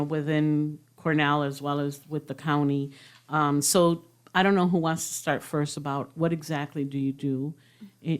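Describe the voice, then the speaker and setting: A woman's voice: deep voice, clean tone. A woman hosting a radio interview, switching between Spanish and English in a chatty, laughing way. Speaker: radio host. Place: radio studio.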